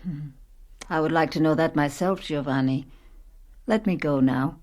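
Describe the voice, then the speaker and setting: An actress playing a composed, educated woman in a radio play, speaking in a measured, reflective way. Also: deep voice, a little mellow, natural voice. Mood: gentle, reserved. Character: composed, educated woman narrating, inhabiting a poor daughter. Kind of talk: radio play narration and dialogue.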